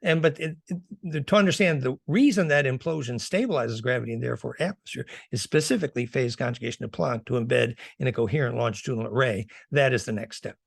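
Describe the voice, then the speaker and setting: A man with a fry-laced, gravelly voice in a very dry recording. He talks like a guest lecturer in an interview, didactic and thick with technical jargon. He repeats words to drive a point home. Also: medium pitch, fast, dry recording. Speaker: guest lecturer on fringe physics. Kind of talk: technical explanation in a podcast interview.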